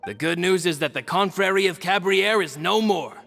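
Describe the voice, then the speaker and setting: Bright-voiced, courteous loyal retainer. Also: male voice, high voice, gravelly. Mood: solemn. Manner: formal, measured. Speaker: video game character. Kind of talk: dramatic game dialogue acting. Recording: long reverb, music underneath.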